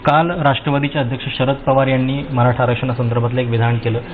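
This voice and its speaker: A man's voice: mid-range voice, completely flat delivery, fast talker. A man with a Maharashtrian accent reporting from the field as a television news reporter. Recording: tinny phone-like sound, some background noise.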